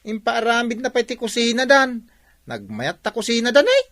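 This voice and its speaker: Animated, chiding village man. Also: extremely high pitch, normal speed, exaggerated voice. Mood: scolding. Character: chiding village friend who praises hard work. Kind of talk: radio drama acting.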